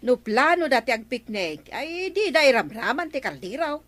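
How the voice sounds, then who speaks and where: Highish-voiced Ilocano village neighbor woman. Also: sing-song, normal speed, a bit bright, no room sound. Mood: questioning. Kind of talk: Ilocano comedy radio drama acting.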